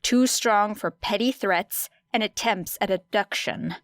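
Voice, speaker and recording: A woman reading an audiobook story dramatically, with deliberate, expressive phrasing and weighty pauses. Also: medium pitch, no echo. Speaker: audiobook narrator. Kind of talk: dramatic scripted fiction narration.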